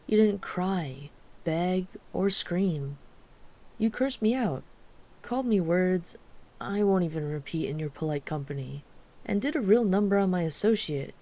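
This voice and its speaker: A woman's voice: on the low side, mellow. A woman playing a wry, chatty, flirtatious mafia boss with an apologetic streak in an ASMR roleplay. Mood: admiring, flirtatious. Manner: wry, exasperated, chatty, teasing. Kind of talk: ASMR roleplay audio drama.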